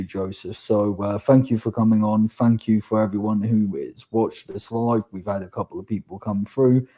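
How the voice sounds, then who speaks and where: Deep-voiced man with a sore throat. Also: warm tone. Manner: measured, thanks guest at length. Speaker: YouTube channel host. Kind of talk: livestream political chat.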